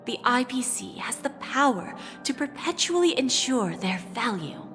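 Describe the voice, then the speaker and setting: A woman playing a shrewd, composed corporate negotiator in a video game, speaking with deliberate, assured phrasing and quiet menace. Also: fairly high pitch, big pitch swings, faint music behind. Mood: smoothly persuasive. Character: cool, shrewd female corporate negotiator, calmly threatening. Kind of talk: dramatic video game voice acting.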